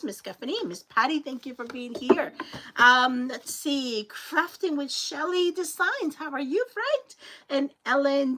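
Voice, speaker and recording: A woman from Colombia with a Colombian Spanish accent, hosting a live crafting stream and chatting with viewers as they join. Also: sits high. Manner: chatty, greets viewers by name, warm thanks. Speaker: crafting livestream host.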